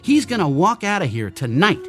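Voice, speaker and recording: A man's voice: high voice, sing-song, normal speed, a bit bright, soft background music. A man in a radio drama playing a troubled android who believed he was human, speaking in urgent, challenging, terse phrases. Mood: desperate, insistent.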